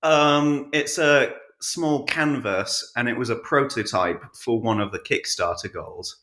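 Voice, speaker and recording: A man's voice: medium pitch, a little slow, sharp tone. A man chatting casually as an interview guest, answering in a hesitant, understated way, recorded with an echoey, roomy sound. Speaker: podcast guest and audiobook narrator.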